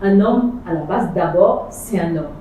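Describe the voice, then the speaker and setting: Young woman, mellow, insistent debater; echoey, off-mic recording. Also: fairly low pitch, expressive, measured pace. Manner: insistent, repeats phrases, mixes in English and Lingala words. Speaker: panel guest. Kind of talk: casual debate on a street panel. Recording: echoey, distant mic, faint noise.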